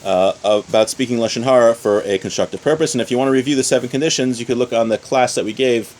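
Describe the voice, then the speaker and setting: A man's voice: mid-range voice, fast talker, darkish tone, slight background noise. A man giving an informal religious lesson as a teacher, explaining step by step and often rephrasing his points. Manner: explanatory, repeats and rephrases points with everyday examples. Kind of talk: informal religious lesson, reading source text then explaining.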